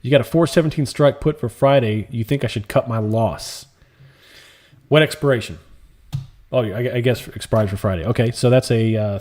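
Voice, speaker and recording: A man hosting a live stream, chatting casually and calmly while answering viewer comments in a soft, laid-back way. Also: medium pitch. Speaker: livestream trading host.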